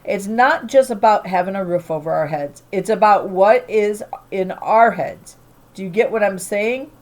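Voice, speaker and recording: A woman reading aloud as a sharp-tongued, fed-up mother who drinks, lines delivered loud and emphatic. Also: medium pitch, moderate pace, natural voice. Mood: pleading.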